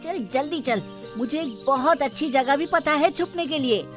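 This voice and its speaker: A woman's voice: high-pitched, normal speed, faint music behind, close to an everyday voice. A woman voicing a confident, bossy young schoolboy in a children's cartoon, assertive and childlike. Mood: eager.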